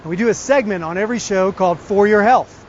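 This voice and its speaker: Fast high-pitched man introducing a show. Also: highs cut off, slight background noise.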